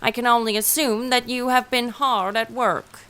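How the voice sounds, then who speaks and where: A woman's voice: a little high, steady tone, moderate pace, bright, forceful tone. An actress playing an affectionate, teasing sister in a period audio drama, reading her letters aloud with composed, formal diction. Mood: worried, earnest.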